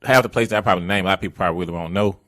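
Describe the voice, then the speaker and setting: A man's voice: deep voice, racing, a bit bright, no echo, studio quality. A man in his thirties with an Atlanta accent, hosting a podcast in loose, slangy, rambling chat. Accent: Atlanta Southern. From Atlanta area, USA. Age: 30s. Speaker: podcast host. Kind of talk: casual chat.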